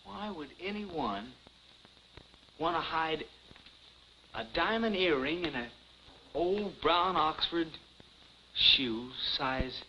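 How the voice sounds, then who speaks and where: An actor playing a naive, earnest young amateur detective with boyish, stammering eagerness in a 1950s television comedy. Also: male voice, a little high, lively intonation, slow pace, long pauses, sharp tone, heavily acted. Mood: puzzled. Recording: muffled, hissy 1950s television soundtrack.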